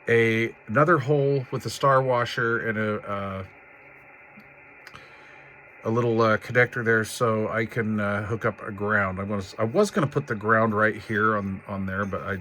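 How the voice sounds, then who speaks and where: A man's voice: medium pitch, bright, forceful tone, a little hiss or hum. A man hosting a hands-on DIY radio build video, chatting casually in a rambling, folksy way with informal slang. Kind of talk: casual hands-on tutorial chat.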